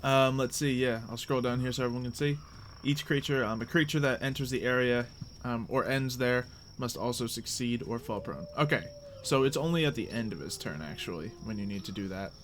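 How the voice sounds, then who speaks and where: A man's voice: mid-range voice, a little fast, a bit bright. A man acting as game master, narrating casually and thinking aloud hesitantly as he works through the rules. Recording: faint background noise.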